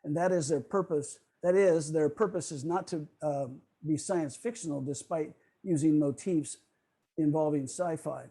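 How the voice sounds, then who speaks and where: A man presenting a prepared history lecture to a club over a video call, explaining in a formal, measured way. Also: fairly high pitch, mellow, breathy edge.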